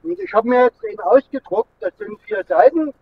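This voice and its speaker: A middle-aged man with a high-pitched voice. He rambles casually as he vlogs while driving. The sound is thin and phone-like, with clear car noise behind him. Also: sing-song, moderate pace. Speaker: vlogger. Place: inside a moving car with air conditioning running. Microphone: camera mounted in the car.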